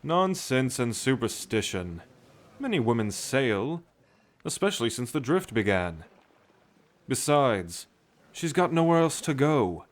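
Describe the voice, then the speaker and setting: A man's voice: medium pitch, very animated, measured pace. A man playing an educated, kindly, rational sailor with articulate, bookish phrasing in a nautical fantasy audio drama. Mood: earnest, persuasive. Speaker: ship crewman.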